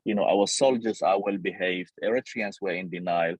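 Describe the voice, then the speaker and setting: A man's voice: low voice, normal speed, breathy edge, no room sound, rough recording. A man with a Somali accent, speaking as a journalist in an interview, reflecting earnestly and sometimes repeating his phrases.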